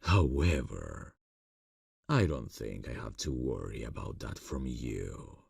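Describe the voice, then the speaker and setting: Ancient male vampire boss, heavy vocal fry, breathy husk, courtly, silky menace, fantasy ASMR roleplay. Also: sing-song, darkish tone, dry recording, studio quality, exaggerated voice. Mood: quietly menacing. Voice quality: very deep, gravelly, breathy. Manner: slow, formal, silky, deliberate. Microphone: close microphone, dry sound.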